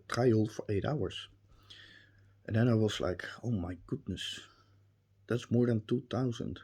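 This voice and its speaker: A Dutch-accented man talks slowly through a personal review, pausing often with ums and restarts. His voice sits low and soft, with a husky edge. He turns earnest and emphatic when he makes a point. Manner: hesitant, many ums and restarts. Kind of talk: spoken testimonial.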